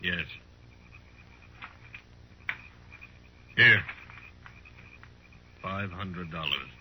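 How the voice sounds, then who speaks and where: A man's voice: sits low, extremely slow, pushed and bright, exaggerated voice. A man playing a proud, distrustful Cheyenne leader in a 1950s radio Western, speaking terse, solemn, stilted English. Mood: curt. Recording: noisy old radio recording.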